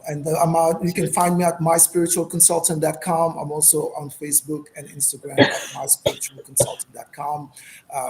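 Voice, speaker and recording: Male interview guest from Senegal, slightly high voice, thoughtful and halting, reflective delivery, noticeable background noise. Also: darkish tone. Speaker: guest, spiritual healer. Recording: clearly noisy background.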